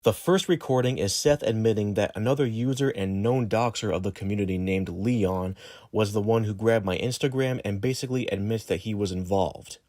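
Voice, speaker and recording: A man's voice: on the low side, brisk, darkish tone, no echo, clean studio sound. A man reading scripted narration for an exposé video.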